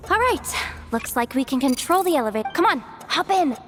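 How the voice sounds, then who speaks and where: A woman playing a plucky, nervous young hacker thief in a video game, expressive and talking herself through things. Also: sits high, a little fast, faint music behind. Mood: eager, urgent. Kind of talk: animated video game voice acting.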